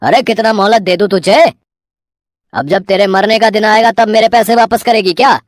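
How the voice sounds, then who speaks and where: A woman voicing a menacing male moneylender with a gruff, intimidating manner. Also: medium pitch, moderate pace, no room sound, studio quality, voice pushed down, close to an everyday voice. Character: menacing male village moneylender, harsh and threatening.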